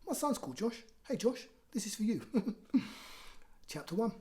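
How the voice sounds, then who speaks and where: A woman's voice: on the high side, moderate pace. A woman hosting a bedtime story for children, reading expressively and chatting in an encouraging way. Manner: animated, encouraging.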